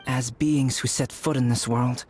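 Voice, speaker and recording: An actor playing a calm, pensive young alchemist in a game, speaking in a grave, deliberate, storytelling way over music. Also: male voice, medium pitch, lightly acted. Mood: calm, reflective. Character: calm, pensive young male alchemist telling a dark tale. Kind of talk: measured game dialogue storytelling. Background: background music.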